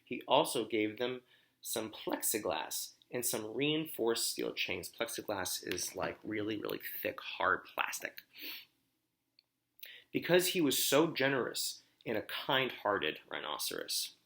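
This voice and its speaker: Slow, animated man reading to children. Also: fairly low pitch, gravelly. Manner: expressive, pausing to explain and question. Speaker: teacher reading aloud. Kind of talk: children's storybook read-aloud with questions.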